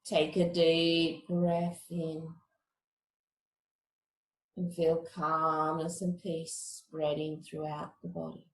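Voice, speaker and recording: A woman teaching meditation, giving guided relaxation instructions in a calm, measured, soothing manner. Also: low voice, echoey room, a little hiss or hum, rough recording.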